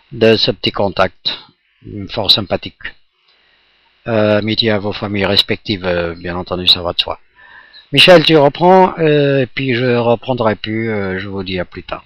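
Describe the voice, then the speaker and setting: A man's voice: on the low side, fairly bright tone. A man chatting casually as an amateur radio operator during a radio contact. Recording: roomy, muffled radio recording. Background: electric fan blowing nearby.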